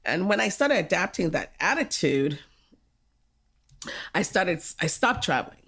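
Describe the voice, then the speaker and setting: A woman's voice: on the low side, very animated, unhurried, a bit bright, husky. An older woman from Jamaica talking frankly in a podcast conversation, often laughing as she reflects.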